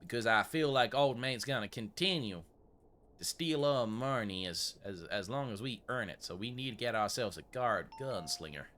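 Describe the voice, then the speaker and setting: A man's voice: lowish voice, expressive, moderate pace, fairly bright tone, a little hiss or hum. A man playing a fussy frontier gun shop owner in gameplay commentary, with a put-on American Old West accent and wordy, mock-formal courtesy. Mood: scheming, businesslike. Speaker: gaming video creator.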